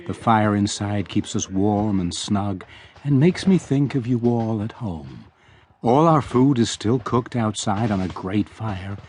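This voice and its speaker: A middle-aged actor playing a loving, earnest father who voices his letter home in a radio drama, with a husky tone. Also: fairly low pitch, darkish tone, a little hiss or hum, lightly acted.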